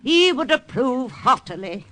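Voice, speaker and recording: An actress playing a genteel, unflappable missionary widow in an old radio drama, prim and proper. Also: female voice, high-pitched, big pitch swings, unhurried, loud music behind the voice. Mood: prim, composed. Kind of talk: 1940s-50s radio drama acting. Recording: noisy vintage radio recording.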